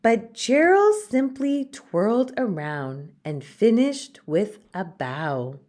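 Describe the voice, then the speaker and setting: Slow, expressive woman storyteller. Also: medium pitch. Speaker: storyteller reading to children. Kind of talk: expressive scripted storybook narration.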